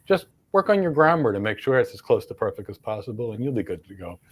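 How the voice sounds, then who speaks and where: A man's voice: mid-range voice, sing-song, fast, husky. A middle-aged man with a Philadelphia accent, hosting a live career Q&A and stressing points by repeating words. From United States. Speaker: host, career mentor. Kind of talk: live Q&A, answering career questions.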